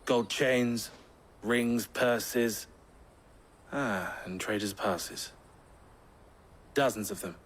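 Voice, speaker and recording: A man's voice: fairly low pitch, extremely slow, pauses often, a little hiss or hum. An actor playing an affable, dutiful and slightly roguish male trader in a fantasy game, with an easygoing, lightly wry way of talking. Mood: wry, satisfied. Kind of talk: scripted fantasy game voice acting. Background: background music.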